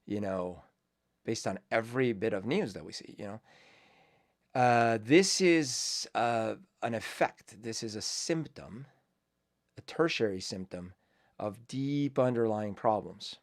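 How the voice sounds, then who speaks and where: A man's voice: medium pitch, expressive, takes it slowly. Colombia-born man musing in a casual commentary. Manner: reflective, rambling, many fillers and restarts. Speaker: host.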